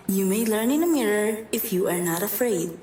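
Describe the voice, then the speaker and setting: A woman's voice: medium pitch, lively intonation, measured pace, slight background noise, natural voice. A woman playing a sardonic old servant woman who tells fortunes, in a radio drama. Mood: wry, teasing. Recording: roomy echo, loud music behind.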